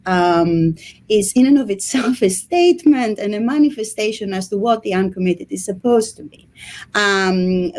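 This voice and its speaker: A woman from Greece in her thirties with a Greek accent, a history lecturer speaking in an interview with many pauses and ums. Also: medium pitch, a little mellow. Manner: hesitant, many ums and restarts. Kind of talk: casual interview talk.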